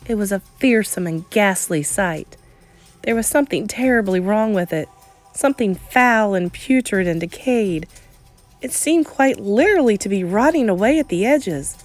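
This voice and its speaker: Expressive woman reading a story aloud, very animated. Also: medium pitch. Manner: suspenseful, emphatic, with deliberate pauses. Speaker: storybook reader. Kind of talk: dramatic read-aloud narration of a children's novel.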